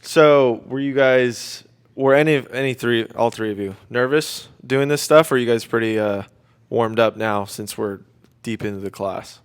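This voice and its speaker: A man's voice: mid-range voice, normal speed, clear, resonant tone. A young man casually interviewing classmates as the host of a student radio Q&A. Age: young adult.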